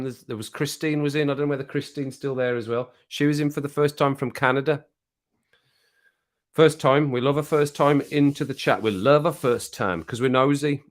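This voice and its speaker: Guy chatting to his live stream at home with a drink, jokey, cracks himself up. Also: mid-range voice. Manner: chatty, jokey, laughs at his own jokes. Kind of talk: casual chat to a live chat audience. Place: at home, living alone.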